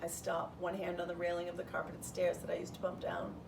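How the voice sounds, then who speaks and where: A woman reading a children's storybook aloud in the first person as a schoolteacher would, with an expressive delivery. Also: on the low side, fairly quick, creaky. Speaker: third grade teacher reading aloud. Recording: distant mic, roomy background noise.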